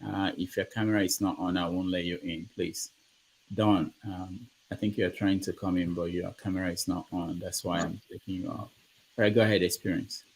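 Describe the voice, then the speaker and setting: A man hosting an online discussion, calling on speakers and keeping order in a brisk, polite way. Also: deep voice, steady tone, a little mellow, a little hiss or hum, rough recording. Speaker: host. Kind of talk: moderating an online group discussion.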